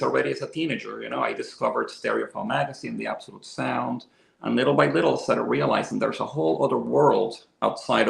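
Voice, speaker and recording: Man in his fifties, from Venezuela, very gravelly, talkative, echoey room. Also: medium pitch, a bit bright. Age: 50s. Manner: enthusiastic, talkative storyteller. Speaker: interview guest, audio equipment seller. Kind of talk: casual interview chat.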